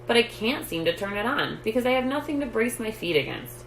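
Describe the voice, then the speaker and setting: A woman's voice: a little high, big pitch swings, a little fast, creaky, a bit bright, voice pushed up, heavily acted. A woman voicing a small, earnest, mouse-like boy from a storybook, a little plaintive. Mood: earnest, frustrated. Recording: roomy echo, light background noise.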